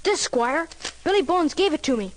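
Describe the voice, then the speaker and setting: A man's voice: very high-pitched, expressive, normal speed. A young male performer playing an earnest, eager cabin boy who narrates and acts in a storybook record with a youthful voice. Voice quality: youthful, boyish. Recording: loud music behind the voice.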